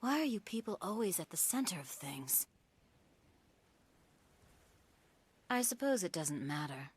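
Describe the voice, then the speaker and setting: A woman's voice: on the low side, very animated, fairly quick, husky. An actress playing a cool, aloof warrior woman in a video game, curt and dryly condescending. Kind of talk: video game cutscene acting.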